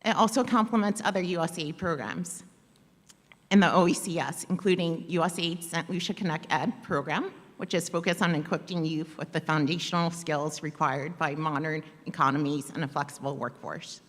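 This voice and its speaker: Woman reading formal speech at a podium, lowish voice, measured delivery, reverberant hall. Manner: measured, formal, with soft um fillers. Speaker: guest speaker delivering official remarks. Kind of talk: reading prepared formal remarks. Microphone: podium microphone.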